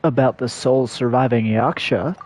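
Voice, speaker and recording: A woman's voice: extremely low pitch, normal speed, a little mellow, a little hiss or hum. A woman giving laconic, skeptical asides as casual livestream commentary over a game, on a dull lo-fi microphone.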